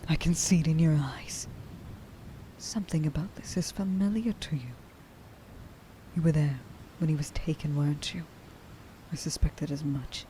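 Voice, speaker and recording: A woman playing a stern, vengeful werewolf huntress in an ASMR roleplay, speaking to the listener with deliberate contempt and menace, often slipping into a breathy whisper. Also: low-pitched, warm tone, slight background noise, lightly acted, low-quality recording. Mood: accusing. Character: stern, vengeful female werewolf huntress, grieving a lost friend.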